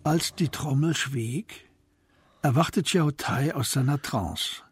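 A man narrating a radio play as scripted literary storytelling, in a measured, descriptive manner. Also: medium pitch, husky, no room sound. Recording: studio narration over music.

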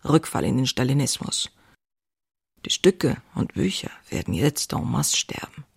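A woman's voice: very deep voice, big pitch swings, a little slow, creaky, husky, exaggerated voice. An actress playing an outspoken, passionate woman writer who confides in her diary in an intimate, wry way. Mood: wry, bitter. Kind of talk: dramatized diary reading, scripted narration.

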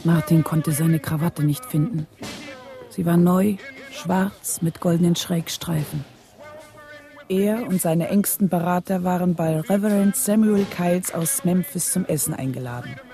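A woman's voice: on the low side, a little slow, warm tone, faint music behind. A woman narrating a scripted radio drama in a sober, factual storytelling manner. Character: sober narrator recounting a historic killing.

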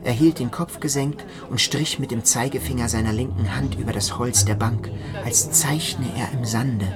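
A man's voice: medium pitch, normal speed, crowd noise, natural voice. An actor playing an awkward, fragile, overwrought man, narrating a literary radio play theatrically. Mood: nervous recounting. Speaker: first-person narrator and protagonist. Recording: music behind the voice.

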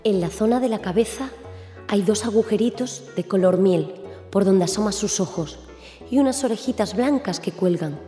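A woman narrates a children's story in a mellow, dark tone. The sound is roomy with long reverb, over faint music. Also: medium pitch, normal speed. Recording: echoey, reverberant room.

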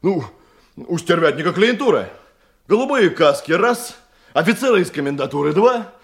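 A man's voice: a little high, very animated, normal speed. A man in a Russian radio drama playing an evasive, servile criminal underling who hesitates, stammers and stalls. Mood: nervous, hedging. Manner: hesitant, stammering, repeats questions back.

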